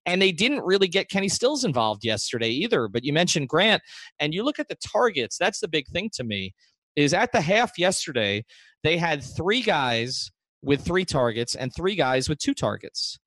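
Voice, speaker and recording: A man's voice: on the high side, sing-song, moderate pace, fairly bright tone, no echo. A man hosting a sports podcast, giving conversational analysis in an emphatic way and repeating phrases for stress.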